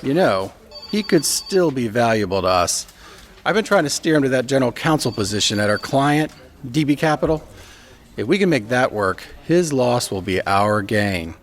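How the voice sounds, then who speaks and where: A man acting as a businesslike senior boss in an audio drama. He talks matter-of-factly at an average pitch, as if chatting on a call. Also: expressive, moderate pace, close to an everyday voice. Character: matter-of-fact, businesslike male law firm boss on a call.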